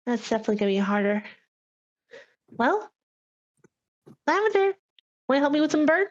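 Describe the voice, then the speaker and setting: A woman's voice: high voice, sing-song, moderate pace. A woman chatting casually as a player in a tabletop game, sometimes speaking as her character, on a slightly lo-fi mic.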